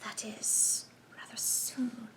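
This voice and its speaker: A woman voicing a sinister male conspiracy chief who speaks in a soft, sibilant whisper with a cold, menacing manner. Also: slow pace, natural voice.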